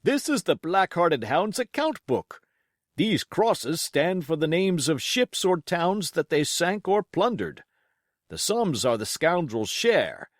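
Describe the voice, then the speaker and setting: A man voicing a blustering, excitable country squire in an audiobook, emphatic and commanding. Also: on the high side, slow pace, dry recording, clean studio sound, voice pushed up. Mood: indignant, certain. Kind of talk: audiobook character voice.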